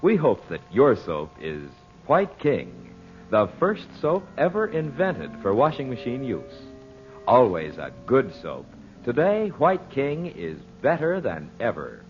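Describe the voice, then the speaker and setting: A man working as a radio announcer, reading scripted 1940s sponsor copy with polished, deliberate salesmanship. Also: medium pitch, huge pitch swings, soft background music. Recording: muffled vintage radio broadcast.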